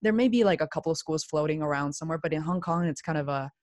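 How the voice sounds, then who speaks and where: Low-voiced chatty woman interviewer. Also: fast talker, darkish tone, no room sound, clean studio sound. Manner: chatty, rambling, restarts often. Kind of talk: casual conversational interview.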